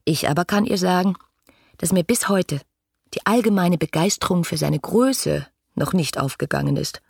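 An actress playing an ardent, lovestruck young woman who speaks her letters lyrically and fervently in a radio drama, with a husky voice. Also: lowish voice, a little mellow, exaggerated voice. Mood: confiding, defiant. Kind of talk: literary radio drama monologue.

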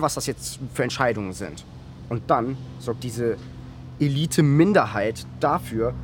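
A man's voice: medium pitch, slight background noise, close to an everyday voice. An actor playing an idealistic, defiant young man, a politician's activist son, in a radio play. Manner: earnest, defiant. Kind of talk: German radio play acting.

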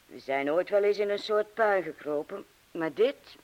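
An actress playing a reckless, teasing young party-goer in a radio drama, blunt and provocative, on a hissy old recording. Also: female voice, mid-range voice, moderate pace, lo-fi sound, close to an everyday voice.

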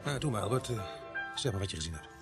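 An actor playing a seasoned, weary but commanding village police sergeant in a radio drama, plain-spoken with short phrases. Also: male voice, on the low side, lively intonation, fast, loud music behind the voice, natural voice. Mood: firm.

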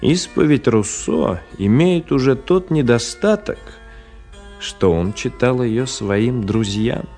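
Literary author-narrator, medium pitch, very unhurried, reflective, deliberate, faint music behind, radio drama. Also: male voice, animated, a little mellow. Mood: reflective, measured.

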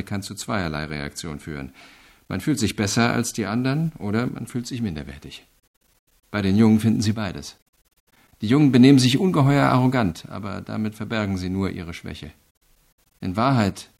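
A man playing an earnest, reflective investigator in a radio crime drama, explaining things in a measured, deliberate way. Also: lowish voice, vocal fry, a little mellow. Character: earnest, reflective male investigator reporting to his superior.

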